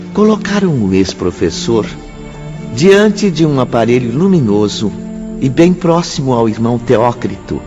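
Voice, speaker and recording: Muffled recording with music underneath: a male narrator delivers dramatic scripted storytelling, slow and highly expressive.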